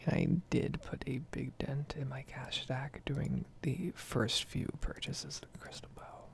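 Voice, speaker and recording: Woman doing asmr gamer ramble, breathy whisper, super crackly fry, chill and sweary. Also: extremely low pitch, steady tone, a little slow. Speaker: gaming video creator.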